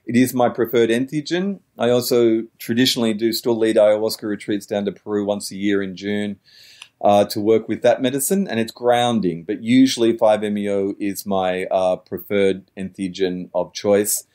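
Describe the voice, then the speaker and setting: A man's voice: lowish voice, fairly even intonation, normal speed, darkish tone. A middle-aged man talking in a wordy, searching way as a podcast guest, often correcting himself mid-sentence. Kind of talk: reflective interview talk.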